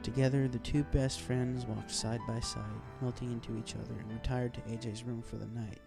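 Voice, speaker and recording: A man's voice: medium pitch, little pitch movement, moderate pace, creaky, over music. A man reading a scripted fan fiction story aloud as its narrator.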